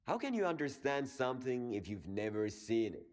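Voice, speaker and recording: A man's voice: mid-range voice, sing-song, moderate pace, a little mellow, close to an everyday voice. An actor playing a scornful, grumbling male stalker in a video game, muttering with disdain.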